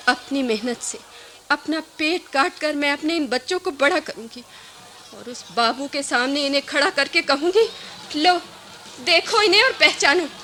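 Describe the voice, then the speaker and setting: A woman's voice: high-pitched, pushed and bright, a little hiss or hum, heavily acted. A woman playing a proud, bitter, defiant poor labouring village mother in a radio play. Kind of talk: Hindi radio play acting.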